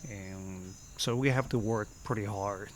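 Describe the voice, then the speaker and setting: A man in his fifties from Costa Rica with a Costa Rican Spanish accent, telling stories as a podcast guest and often pausing to search for words. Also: on the low side. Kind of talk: casual storytelling.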